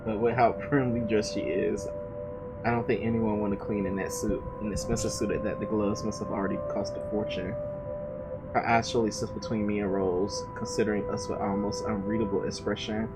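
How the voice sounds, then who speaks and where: Streamer type: a man with a low voice, casual and a bit clumsy, with loud music behind. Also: steady tone, fairly quick, poor audio. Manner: stumbling, restarting casual reading, swears. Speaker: game streamer reading the story aloud. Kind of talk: reading visual novel narration aloud.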